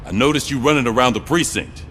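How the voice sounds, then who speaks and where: A man's voice: medium pitch, fast, fairly bright tone, lightly acted. A man playing a stern but caring police sergeant in a video game, earnest and plain-spoken. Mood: earnest, gruff resolve. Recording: long reverb of a garage, noisy vehicle ambience.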